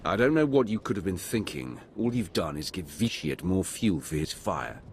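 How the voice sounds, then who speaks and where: An extremely low-voiced, imperious Sith enforcer in a video game cutscene. He speaks with cold, formal authority, over a faint background noise. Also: lively intonation, normal speed. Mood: stern. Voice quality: very deep. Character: stern male Sith warrior, the Emperor's former enforcer.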